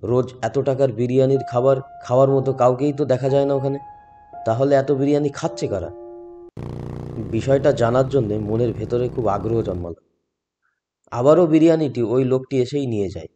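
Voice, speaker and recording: Male first-person horror narrator, soft dark tone, music underneath. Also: medium pitch, brisk. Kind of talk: scripted horror story narration. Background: background music.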